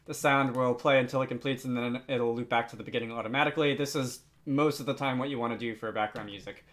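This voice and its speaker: A man narrating a software tutorial in a casual, informal way, pausing often as he explains. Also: medium pitch, fairly even intonation. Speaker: tutorial host.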